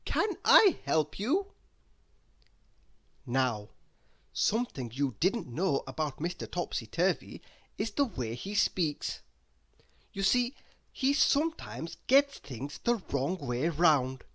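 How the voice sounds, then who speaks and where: A man reading a children's story aloud in an expressive, deliberate way. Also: on the high side. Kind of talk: expressive scripted narration for children.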